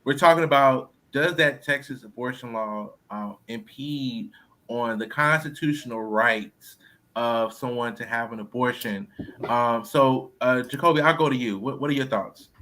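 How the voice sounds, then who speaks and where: A man's voice: medium pitch, moderate pace, sharp tone. A man hosting a podcast panel, steering the discussion and handing the floor to guests. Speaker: podcast host and lawyer.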